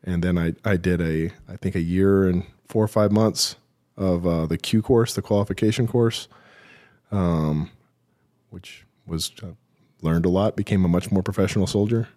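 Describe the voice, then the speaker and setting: Very deep-voiced man telling his story. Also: steady tone, mellow. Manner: halting, self-deprecating, dry humour. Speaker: podcast guest, military veteran. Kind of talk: interview storytelling.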